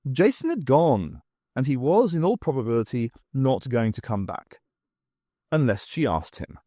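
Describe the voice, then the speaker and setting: A man narrates a novel in an expressive storytelling style. His pitch sits in the middle range and moves about animatedly from phrase to phrase. The sound is dry and close, with no room around the voice. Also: moderate pace, no room sound. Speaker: audiobook narrator.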